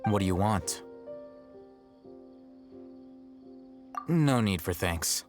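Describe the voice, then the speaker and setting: A coolly rational young male scholar from a video game, speaks in a fairly low voice. He is articulate and precise, with a blunt, detached manner. Music sits behind the voice. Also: lively intonation, measured pace. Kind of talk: video game character acting.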